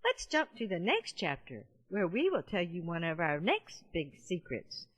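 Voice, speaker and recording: A woman's voice: on the low side, huge pitch swings, moderate pace, breathy edge, exaggerated voice. A woman voicing a friendly giant panda in a children's audiobook, playful and talking straight to young listeners. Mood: chatty, amused.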